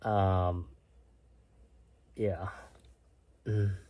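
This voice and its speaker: A man's voice: deep voice, little pitch movement, drawn-out words, dull audio. A young man from Korea chatting casually to his stream at night, musing aloud and sometimes dropping to a whisper. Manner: soft-spoken, musing, sometimes drops to a whisper. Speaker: livestream host reading chat. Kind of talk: casual late-night chat. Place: home at night.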